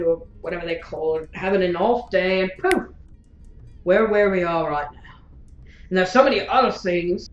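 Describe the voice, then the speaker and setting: A woman's voice: medium pitch, close to an everyday voice. Woman playing a blunt, flippant companion, echoey. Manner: casual, blunt, ends phrases with tag questions. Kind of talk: audio drama acting. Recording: roomy, dull sound with faint music.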